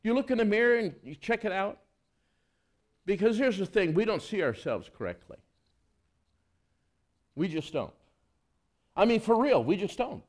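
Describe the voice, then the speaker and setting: A man preaching in a relaxed, talky way, firing off rhetorical questions at his congregation. His voice sits a little high for a man and swings widely in pitch, climbing when he gets worked up and dropping low when he reads scripture. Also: moderate pace, pauses often. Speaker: pastor. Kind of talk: sermon.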